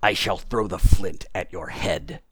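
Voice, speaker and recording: A man's voice: low voice, a little slow, fairly bright tone, breathy edge, no echo, clean studio sound, full character voice. Man playing an insistent, commanding invisible man. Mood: threatening. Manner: insistent, emphatic, self-controlled. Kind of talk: acted audiobook storytelling.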